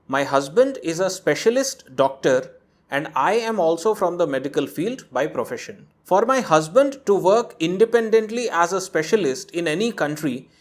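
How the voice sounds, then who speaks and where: A man's voice: fairly high pitch, sing-song, normal speed. Man reading a viewer's story aloud. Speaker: host reading a viewer's letter. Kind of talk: narrated reading with commentary.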